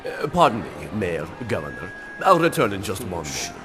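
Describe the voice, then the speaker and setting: A man's voice: low voice, wildly swooping pitch, brisk. A man voicing an oily, flamboyant party host and villain in a video game, with a smarmy, theatrical delivery. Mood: smarmy, flirtatious. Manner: smarmy, theatrical, hesitant flourishes. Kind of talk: video game cutscene acting. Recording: music behind the voice.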